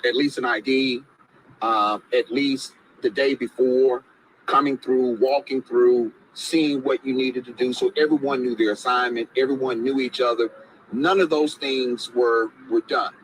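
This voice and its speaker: Emphatic attorney speaking outdoors. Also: male voice, mid-range voice, steady tone, a little slow, a bit bright, low-quality recording. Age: 40s. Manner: emphatic, repeats phrases for effect. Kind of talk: outdoor press statement. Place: outdoors by a gate. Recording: outdoor recording with clear background noise.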